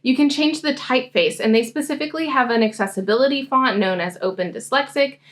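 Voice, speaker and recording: A woman coaching grad students, giving a friendly software tutorial walkthrough. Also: high voice, fast talker. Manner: friendly, explanatory screen-demo talk. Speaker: science grad school coach.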